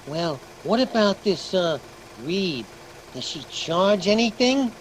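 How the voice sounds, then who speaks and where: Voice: animated, slow pace, rough recording. An actor's performance of a grumpy, tight-fisted male property owner in a radio drama, curt and sceptical. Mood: suspicious. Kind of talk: old-time radio acting. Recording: faint engine rumble behind the voice.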